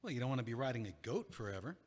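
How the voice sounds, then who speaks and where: A man's voice: medium pitch, big pitch swings, racing, darkish tone. An actor playing an older male friend, exasperated but caring, in an audio play. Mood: earnest, caring. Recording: echoey hall with long reverb.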